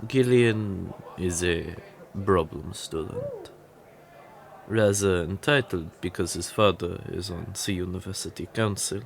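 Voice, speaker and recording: A man performs a weary but protective older professor in an audio roleplay. His voice is on the low side, measured and unhurried, with dry authority, over faint background noise. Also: huge pitch swings, vocal fry, full character voice. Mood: reassuring, firm. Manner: measured, authoritative, dryly disapproving.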